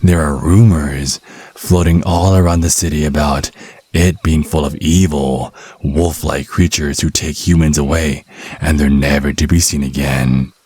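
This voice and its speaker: Casting: male actor for a smug, mocking vampire hunter in ASMR audio drama, bottomless low register, unhurried delivery. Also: fairly even intonation, a little mellow, no room sound, full character voice. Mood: taunting, composed. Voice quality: husky, very deep. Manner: sardonic, mocking, slow deliberate phrasing. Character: cocky, taunting male vampire hunter, youthful but over a century old. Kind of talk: ASMR roleplay monologue.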